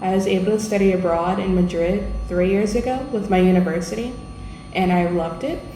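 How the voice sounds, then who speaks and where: A woman's voice: medium pitch, fairly quick, warm tone, soft background music. A young woman from the United States, a language assistant, answering interview questions in a friendly, earnest way. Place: school room. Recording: echoey room with background noise.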